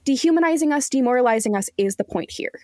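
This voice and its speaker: A woman's voice: high-pitched, animated, fast, a little mellow, no echo. Earnest woman guest, dry close sound. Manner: earnest, emphatic, articulate. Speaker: podcast guest, journalist. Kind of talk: conversational interview.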